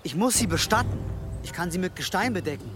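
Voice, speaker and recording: An actor playing a gentle, dutiful, earnest man in an audio drama. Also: sits high, lively intonation, quick pace. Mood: earnest concern. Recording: music and outdoor sounds behind.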